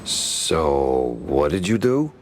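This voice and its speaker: A man's voice: very deep voice, sing-song, drawn-out words, a little hiss or hum, exaggerated voice. A man voicing a wisecracking, world-weary cartoon mouse private detective in a noir game, sardonic and wry.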